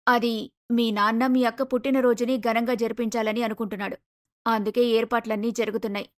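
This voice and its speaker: A woman voicing a patient middle-aged mother in a cartoon moral story, gentle and reasoning. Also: a little high, little pitch movement, quick pace, voice pushed down, natural voice. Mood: calm.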